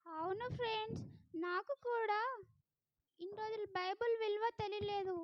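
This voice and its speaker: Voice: very high-pitched, fairly even intonation, normal speed, no echo, muffled recording. A performer voicing Dumbu, a curious boy puppet in a kids' puppet show, chatty and eager.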